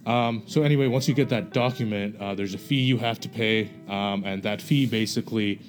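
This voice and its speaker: Soft-spoken man explaining with many fillers. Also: lowish voice, fairly quick, faint music behind. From Bangladesh. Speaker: podcast guest. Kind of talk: casual explanation.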